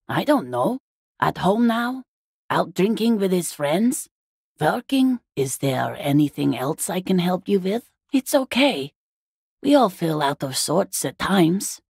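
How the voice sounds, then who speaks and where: A woman playing a weary, guarded working class wife and mother in a video game, husky, plain-spoken and exasperated. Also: lowish voice, darkish tone, dry recording, studio quality, full character voice. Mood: defensive, irritated, then guarded, polite. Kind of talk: video game character dialogue.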